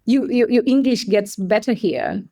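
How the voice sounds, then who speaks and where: Kenyan woman guest on a podcast, mellow tone, chatting and explaining stuff clearly. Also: a little high, moderate pace. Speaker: podcast guest, coffee company staff member. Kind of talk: conversational interview.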